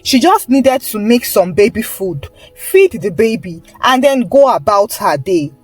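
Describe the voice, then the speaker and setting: A woman's voice: mid-range voice, sing-song, a little slow, breathy edge, soft background music. A woman storyteller reading a scripted folktale narration.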